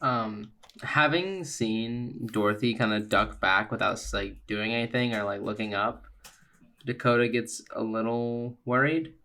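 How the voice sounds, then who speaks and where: A man playing a tabletop game, narrating his character's movements in a halting, conversational, improvised style. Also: medium pitch, bright, forceful tone.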